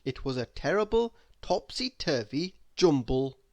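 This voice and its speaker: A man reading a children's story aloud in an expressive, deliberate way. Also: fairly high pitch. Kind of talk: expressive scripted narration for children.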